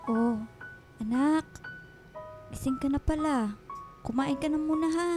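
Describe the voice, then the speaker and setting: An actress playing a gentle, coaxing elderly housekeeper with a Filipino accent in a radio drama. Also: female voice, sits high, unhurried, over music. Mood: gentle, caring. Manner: coaxing, motherly, hesitant. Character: gentle elderly housekeeper, motherly, pleading, flustered peacemaker.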